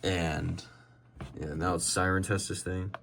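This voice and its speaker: Low-voiced young American man, rambling casually. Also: level tone, vocal fry, very noisy. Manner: halting, self-correcting, rambling. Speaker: hobbyist showing a radio collection. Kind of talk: casual show-and-tell vlog. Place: indoors at home by a desk.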